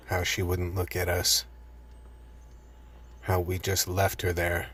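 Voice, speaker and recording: A man's voice: sits low, a bit bright, slight background noise. A man playing a guilt-ridden, world-weary cop turned fugitive, narrating a radio play with brooding regret.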